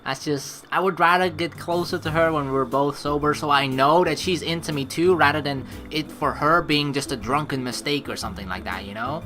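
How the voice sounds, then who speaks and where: A man giving casual, rambling gameplay commentary and reading dialogue aloud, excitable, informal and sweary. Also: on the high side, a little fast, hardly any pauses. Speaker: let's player. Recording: game music behind the voice. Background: game music.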